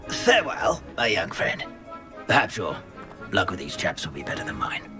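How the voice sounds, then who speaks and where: An actor playing a polite, eager English gentleman ghost in a video game, with a British accent and a courtly, hesitant manner. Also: deep voice, huge pitch swings, normal speed, over music. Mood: nervous, deferential. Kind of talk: video game character acting.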